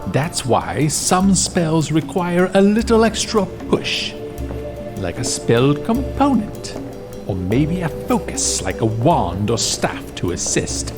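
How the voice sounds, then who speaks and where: A man's voice: fairly high pitch, huge pitch swings, takes it slowly, heavily acted. An actor playing a haughty, scornful male wizard in an audio drama, talking down to others. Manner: condescending, insulting. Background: music bed.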